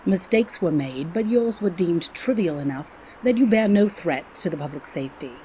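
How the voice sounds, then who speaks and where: A woman's voice: lowish voice, expressive, moderate pace, mellow, a little hiss or hum, close to an everyday voice, poor audio. A woman playing a stern, commanding queen who speaks formally in an audio drama. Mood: stern, measured. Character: stern queen granting grudging mercy to released prisoners.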